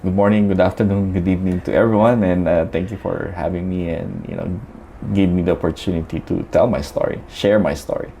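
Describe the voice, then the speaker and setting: A man's voice: deep voice, fairly even intonation, brisk, creaky, warm tone, slight background noise, low-quality recording. A man from the Philippines, a guest telling his story in a chatty way, full of fillers and restarts. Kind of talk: casual storytelling interview.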